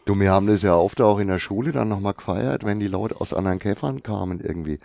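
A man with a Franconian accent hosting a casual chat, enthusiastic and quick to praise. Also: fairly low pitch, vocal fry, darkish tone, no echo. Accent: Franconian German. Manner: enthusiastic, repeats "herrlich, wundervoll".

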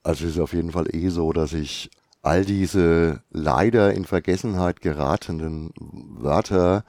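A man with a Franconian accent hosting a casual chat, enthusiastic and quick to praise. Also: deep voice, darkish tone, no echo, studio quality. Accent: Franconian German. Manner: enthusiastic, repeats "herrlich, wundervoll".

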